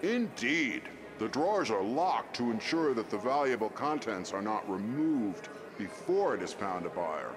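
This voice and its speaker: An actor playing a showy estate auctioneer in an audio drama, with formal sales patter. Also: male voice, lowish voice, big pitch swings, measured pace, hardly any pauses, over music. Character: smooth-talking country estate auctioneer selling antiques. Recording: busy crowd behind the voice.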